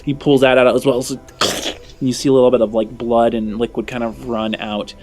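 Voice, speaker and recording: A man's voice: mid-range voice, little pitch movement, moderate pace, a little mellow. A man narrating a tabletop roleplaying game as game master, improvising descriptions and often saying "like". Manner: frequent "like" while describing scenes.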